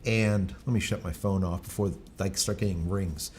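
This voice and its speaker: A man's voice: low-pitched, steady tone, normal speed, darkish tone, slight background noise. A man hosting a live stream, chatting casually, rambling, with many fillers and restarts. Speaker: livestream host.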